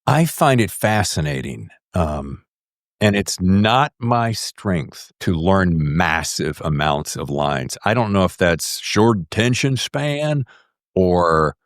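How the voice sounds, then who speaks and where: A low-pitched man hosts a podcast interview in casual, emphatic talk. His intonation swings widely as he builds his questions, and the recording is close and dry. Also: slow pace, no echo. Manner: expressive, emphatic, acts out imagined quotes.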